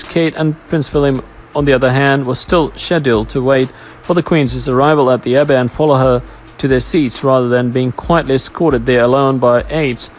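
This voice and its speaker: A man reading a scripted royal news narration, stumbling now and then as he reads. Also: medium pitch. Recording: music behind the voice. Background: background music.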